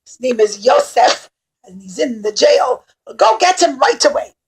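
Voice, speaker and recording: A woman's voice: sits high, very animated, a little slow, vocal fry, husky, full character voice. A woman voicing a scornful, self-serving male butler in a children's puppet show, dismissive and scheming. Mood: eager, informing.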